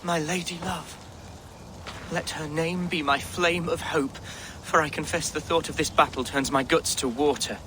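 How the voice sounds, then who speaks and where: A man's voice: on the high side, fairly even intonation, normal speed, sharp tone, slight background noise. An actor playing an earnest, nervous young Saxon nobleman with flowery speech in a video game. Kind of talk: video game cutscene acting.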